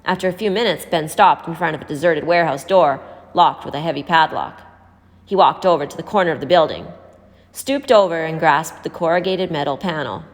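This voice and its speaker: Female audiobook-style narrator, fairly low voice, reading prose aloud with hall-like reverb. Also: fairly quick. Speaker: story reader. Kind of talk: scripted story narration.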